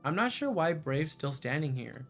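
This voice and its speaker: A man's voice: on the high side, big pitch swings, moderate pace, fairly bright tone. Man reading game narration over music. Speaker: game streamer. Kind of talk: reading visual novel narration with live reactions.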